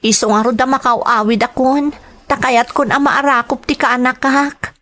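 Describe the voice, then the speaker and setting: An actress with an Ilocano accent playing a homesick young daughter working abroad, wistful but reassuring, in a radio drama. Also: female voice, high voice, fairly even intonation, moderate pace, fairly bright tone. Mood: reassuring, longing.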